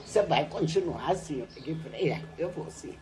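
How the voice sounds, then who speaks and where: Senhora idosa contando histórias com muita animação. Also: female voice, sits low, fairly quick. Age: older. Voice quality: lively, animated storytelling with laughs. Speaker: retired teacher. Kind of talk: reminiscing interview. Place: covered outdoor patio at a home.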